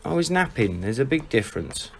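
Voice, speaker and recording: Guy doing chilled frank mate in audio drama, unhurried, middle pitch, noisy background with birdsong. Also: sing-song, creaky. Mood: frank, calm. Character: laid-back, perceptive, quietly frank dozing friend.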